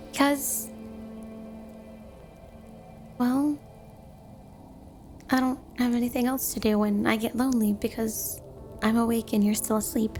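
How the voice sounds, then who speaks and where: Woman acting a high-voiced, clingy snow-woman girlfriend. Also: lightly acted. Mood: sweet, eager. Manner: sugary, coy, affectionate, unhurried. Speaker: ASMR roleplay performer. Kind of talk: ASMR romantic roleplay, addressed to the listener.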